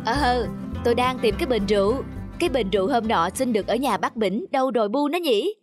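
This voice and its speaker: An actor playing a mild, simple village husband in a folk-tale cartoon. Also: male voice, very high-pitched, lively intonation, brisk. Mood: mild, hesitant. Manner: mild, explaining. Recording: music behind the voice.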